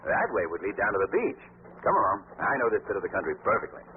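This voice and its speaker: A man acting an urbane, self-assured young gentleman sleuth in a 1940s radio play, breezy and cultivated. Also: fairly low pitch, sing-song, fast talker. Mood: casually confident. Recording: tinny, noisy 1940s radio recording.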